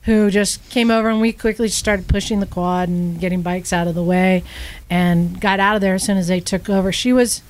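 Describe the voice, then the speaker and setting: A woman co-hosting a podcast, telling a story to friends in a casual, animated way and acting out what people said. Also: mid-range voice, moderate pace, poor audio. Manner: animated, laughing, acts out quoted speech. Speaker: podcast co-host.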